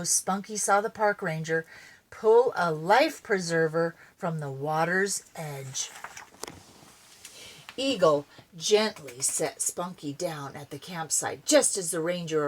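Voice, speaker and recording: An older woman, a grandmother, reading a children's story aloud in an animated, dramatic way. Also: on the low side.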